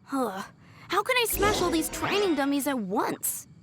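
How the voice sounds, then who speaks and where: An eager young knight in training, speaking with earnest enthusiasm and a flustered edge. Her voice sits a little high and swings widely in pitch. Game music plays behind her. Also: big pitch swings, measured pace. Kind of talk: video game voice acting.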